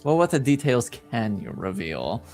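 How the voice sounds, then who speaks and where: A man playing a reserved, coolly skeptical nobleman in a tabletop roleplaying game, speaking formally and deliberately. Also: medium pitch, very animated, a little mellow, faint music behind, close to an everyday voice. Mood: coolly probing.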